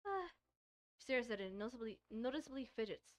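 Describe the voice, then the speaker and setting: A woman with a fairly high voice streams a visual novel, reading it aloud in a casual way. She trips over words and repeats phrases as she goes, mixing in her own commentary. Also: expressive, quick pace. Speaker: gaming streamer.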